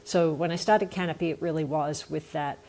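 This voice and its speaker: An Australian woman with a low voice and a gravelly edge speaks as an interview guest. She tells her story in an earnest, thoughtful way, with a faint hum of room noise behind her. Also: fairly even intonation, moderate pace, mellow. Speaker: nonprofit founder, podcast guest. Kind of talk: conversational interview answer.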